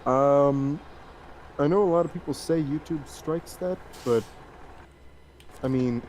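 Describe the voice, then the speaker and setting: A man chatting casually as a livestream co-player, opinionated and somewhat halting as he makes his points. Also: on the high side, darkish tone. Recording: clear background vehicle noise.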